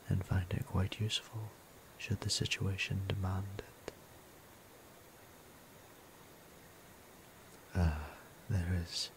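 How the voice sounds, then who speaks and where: A man playing a gentle, courteous vampire priest in an ASMR roleplay, speaking softly and breathily in a formal, soothing way. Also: deep voice, noisy background. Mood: earnest, hesitant. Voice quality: soft, breathy near-whisper. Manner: slow, deliberate, formal, soothing. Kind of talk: intimate ASMR roleplay acting.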